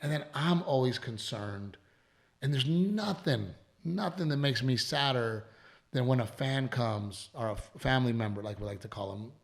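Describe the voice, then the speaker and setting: A man with a gravelly, worn-out voice hosts a casual podcast. He rambles, repeats himself and swears offhandedly. His voice sounds strained and tired. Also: medium pitch, animated, moderate pace. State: voice worn from allergies and shows. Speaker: comedian and podcast host.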